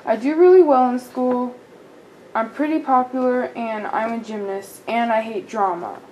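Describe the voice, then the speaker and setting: A woman's voice: a little high, normal speed, dark tone, a little hiss or hum, lightly acted, low-quality recording. A young woman voicing a precocious nine-year-old girl doll who sounds cocky and matter-of-fact.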